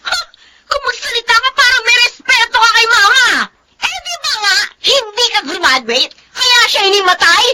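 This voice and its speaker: Bratty hot-tempered filipino sister, super high voice, combative radio soap, noisy audio. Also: female voice, expressive, normal speed. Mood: angry, taunting. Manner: combative, taunting. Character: defiant, hot-tempered elder sister, young mother. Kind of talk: Filipino radio melodrama acting.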